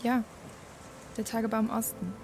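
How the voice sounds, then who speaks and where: An actress playing a cool, pragmatic young activist in an audio drama, laid-back and slangy with a creaky voice. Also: female voice, fairly high pitch, flat delivery, measured pace, loud music behind the voice.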